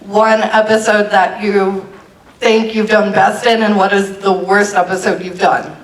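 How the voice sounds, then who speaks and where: A woman's voice: medium pitch, flat delivery, unhurried. A woman fan politely asking a panel guest a question at a convention. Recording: echoey hall, voice from far off.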